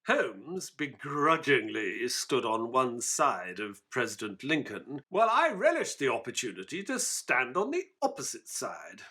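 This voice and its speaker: Man acting as earnest Victorian gentleman narrator, bright forceful tone, animated delivery, audio play. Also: medium pitch, a little slow, hardly any pauses, breathy edge, exaggerated voice. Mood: earnest storytelling. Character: earnest Victorian gentleman doctor recounting a case.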